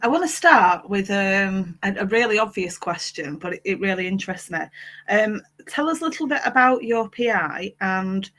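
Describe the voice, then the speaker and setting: A woman's voice: mid-range voice, lively intonation, normal speed, a bit bright. A woman hosting a panel discussion, chatty and a little hesitant with frequent fillers. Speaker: panel host.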